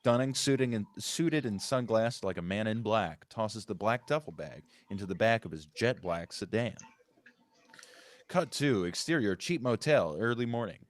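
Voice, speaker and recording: A man's voice: on the low side, expressive, moderate pace. A man reading stage directions as scripted narration at a live table read, occasionally correcting himself.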